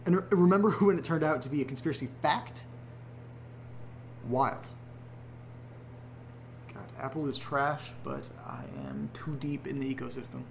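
A man's voice: mid-range voice, moderate pace, creaky, long pauses, lightly acted. Casting: a man as a wry, sarcastic young guy, mid-range voice, a faint hum behind. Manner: sarcastic, rambling, with casual fillers and restarts. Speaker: narrator and protagonist. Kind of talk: horror audio drama monologue.

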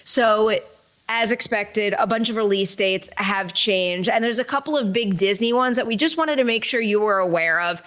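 A woman's voice: medium pitch, moderate pace, fairly bright tone, highs cut off. A woman hosting a casual entertainment news show, chatting in an enthusiastic, effusive way into a studio microphone. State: a little sleepy. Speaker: show host.

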